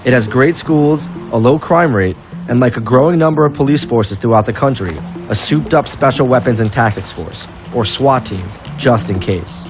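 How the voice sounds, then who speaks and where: A man's voice: mid-range voice, normal speed. A man working as a documentary reporter, narrating and interviewing on location. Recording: music behind the voice.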